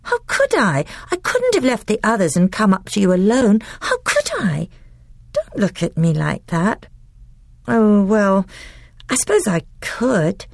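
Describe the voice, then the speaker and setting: A woman voicing an eager, loving young girl who talks high and breathlessly, often pleading. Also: big pitch swings, a little slow, gravelly, no room sound, full character voice.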